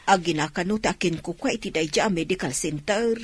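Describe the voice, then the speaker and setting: A woman's voice: fairly low pitch, a little fast, husky. An actress playing a supportive, practical older mother in a radio drama. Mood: concerned, soothing.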